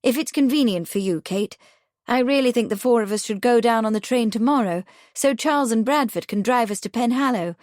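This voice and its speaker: A woman playing an eager, bossy upper-class young woman with a brisk, emphatic way of talking. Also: on the high side, darkish tone, no echo, studio quality, pitched above the performer's own voice, close to an everyday voice. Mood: cheerful.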